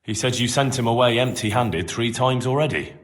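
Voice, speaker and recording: An actor playing a blunt, persistent young investigator in a medieval video game, with a direct, questioning manner. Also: male voice, medium pitch, fairly quick, big-room sound, close to an everyday voice. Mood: pressing, suspicious.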